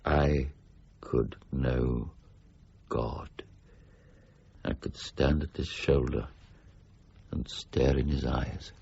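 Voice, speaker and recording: An actor playing a proud, irascible, middle-aged scholar in a period radio drama, speaking formally with grave, clipped intensity. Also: male voice, rumbling low, steady tone, extremely slow, creaky, long pauses, darkish tone, slightly rough, muffled recording, heavily acted. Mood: brooding, intense. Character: brooding, proud, irascible ageing English scholar, stern mint warden.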